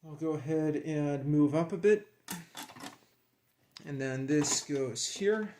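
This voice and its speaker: A man casually narrating as he works with his hands, in a creaky voice, absorbed and admiring, dropping murmured asides. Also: a little high, takes it slowly, warm tone, a little hiss or hum. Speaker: hobbyist demonstrating a radio rebuild.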